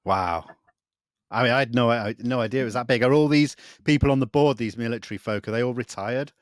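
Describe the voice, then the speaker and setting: Dry, close-sounding male interviewer, mid-pitched. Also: fast talker, no echo. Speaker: interviewer, host. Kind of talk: casual conversational interviewing.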